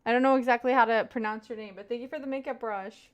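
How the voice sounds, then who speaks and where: A woman's voice: a little high, fairly even intonation, fast, dull audio. A young woman from Kentucky with a light Southern US accent, live-streaming, chatting casually, and thanking her viewers over and over. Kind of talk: casual chat reading viewer comments.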